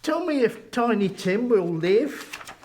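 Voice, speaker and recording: Elderly repentant miser, slow and high, pleading urgently, for a dramatic ghost story. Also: male voice, lively intonation, slight background noise, exaggerated voice. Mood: anxious. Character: Scrooge, penitent old miser, anxious and imploring.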